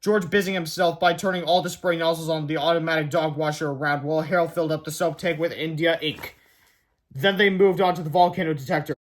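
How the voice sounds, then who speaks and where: A man with a high-pitched voice narrates a children's storybook aloud. He works as a storyteller would, reading from the written text. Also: steady tone, quick pace. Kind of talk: scripted children's book narration.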